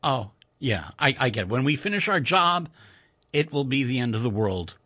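A man's voice: medium pitch, sing-song, normal speed, bright, forceful tone. A man voicing a weary, sardonic male computer engineer who speaks in a dry, matter-of-fact way.

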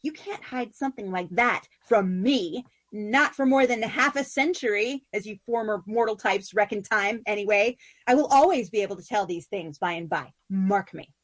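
A woman voicing an excitable young fae spider queen who gushes and pleads in a high, breathless voice. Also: moderate pace, hardly any pauses, natural voice. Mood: triumphant. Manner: gushing, petulant, high and breathless.

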